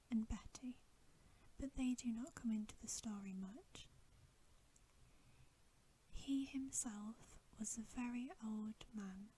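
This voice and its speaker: A woman reading a bedtime story in ASMR style, in a hushed, gentle, unhurried whisper. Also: on the high side, a little hiss or hum, poor audio. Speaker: ASMR reader.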